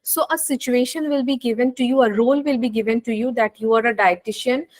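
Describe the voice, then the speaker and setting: Woman w running an online class, lots of uh and um, talks fast. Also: on the high side, fairly even intonation. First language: Bengali. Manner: switches between English and Hindi, many fillers. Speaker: nutrition instructor hosting an online class. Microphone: video call.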